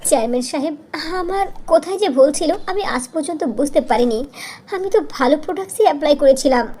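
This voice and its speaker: A woman's voice: high-pitched, animated, brisk, warm tone. A woman voicing a kind, earnest young beautician heroine in a fairy-tale cartoon, speaking emphatically. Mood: earnest, helpful. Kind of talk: dubbed cartoon dialogue.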